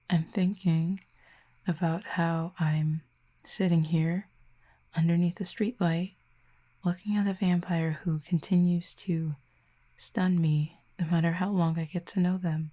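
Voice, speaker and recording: A woman playing a wry, tender, guarded Cupid in an intimate audio roleplay, with soft, breathy, husky delivery and gentle, slightly formal phrasing. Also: on the low side, little pitch movement, slow, pauses often. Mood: hesitant, softened.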